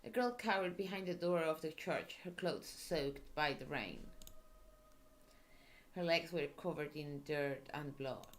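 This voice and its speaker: A woman's voice: sits low, expressive, a little slow, slight background noise. A woman with a Spanish accent carefully reading visual novel narration aloud.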